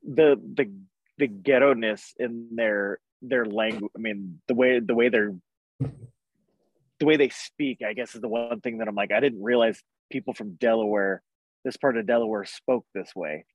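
A man's voice: lowish voice, normal speed, dry recording, lo-fi sound. A man in his thirties chatting casually as the co-host of a wrestling podcast. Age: 30s.

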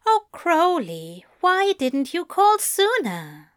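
This is a tender, fussy man in a storybook reading, put on by a woman narrator. His voice sits very high and rises and falls a great deal. He speaks slowly and softly, recorded dry and close. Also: huge pitch swings, no room sound, full character voice. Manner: soft, tender, reassuring. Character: gentle, fussy, kindly man, soothing and caring. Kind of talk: audiobook narration of fan fiction.

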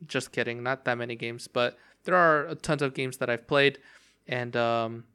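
Young male podcaster, bright, rambling casual delivery, voice worn and cracking. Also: medium pitch, steady tone, unhurried, clean tone. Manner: rambling, many fillers and restarts. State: voice cracking from long talking. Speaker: podcast host. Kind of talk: casual solo game talk.

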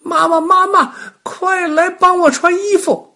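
A man plays a boastful little storybook boy, pitched far up and loudly insistent. Every phrase is exclaimed with childish excitement and lively swoops. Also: moderate pace, husky, heavily acted. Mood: panicked.

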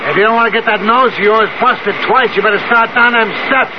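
An actor playing a rough, taunting work boss, a sneering bully, in a 1970s radio drama. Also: male voice, sits high, brisk, natural voice. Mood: threatening, mocking. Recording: muffled, noisy 1970s radio recording.

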